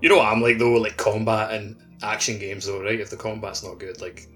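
A man with a fairly low voice chats as a co-host on a gaming podcast. His delivery is informal and hedging, and music plays behind him. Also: flat delivery, a little fast, a bit bright. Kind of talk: casual gaming podcast chat. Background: background music.

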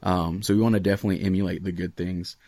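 A man's voice: low voice, flat delivery, quick pace, a little mellow, no room sound, clean studio sound. An American man in his late twenties chatting casually and earnestly as a podcast host, with plenty of "like" and "you know". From Raleigh area, United States. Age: late 20s. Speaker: podcast host, student pastor.

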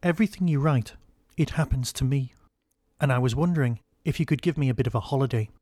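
A man's voice: medium pitch, fast, a little mellow, no room sound, studio quality, lightly acted. A man voicing a weary time-travelling alien who earnestly pleads for a rest, in an audio story. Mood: pleading.

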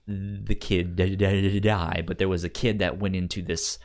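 A man casually discussing a movie as a podcast host, with halting speech and stuttered, repeated sounds and words. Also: sits low, flat delivery.

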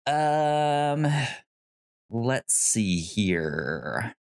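A man co-hosting a podcast in casual movie chat, with a halting, hesitant delivery. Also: mid-range voice, sing-song. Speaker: podcast co-host.

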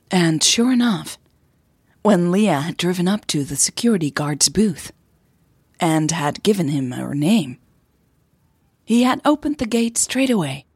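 A woman podcast host narrating an audio drama in a soft, unhurried, breathy way. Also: fairly low pitch, no echo. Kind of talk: audio drama storytelling with informal podcast intro.

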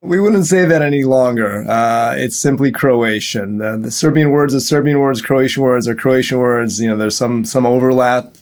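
Calm, measured man from Ohio. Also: medium pitch. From Cleveland, Ohio suburb. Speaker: interview guest. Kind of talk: calm, reflective interview answers.

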